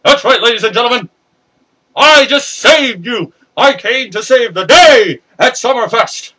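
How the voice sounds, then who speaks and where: A man with a very high, bright, sharp-edged voice boasts his way through a wrestling promo, drawing his words out slowly. He sounds brash and thoroughly pleased with himself. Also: animated, slightly rough, rough recording. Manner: brash, self-congratulating.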